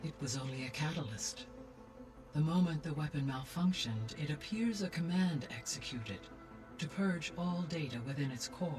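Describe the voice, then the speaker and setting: A serene female artificial intelligence speaks in formal, carefully measured sentences. She sounds wise and analytical, in game dialogue over faint music. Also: low voice, lively intonation, darkish tone. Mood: composed, analytical. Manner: composed, deliberate, precise. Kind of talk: formal video game cutscene acting. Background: faint music behind the voice.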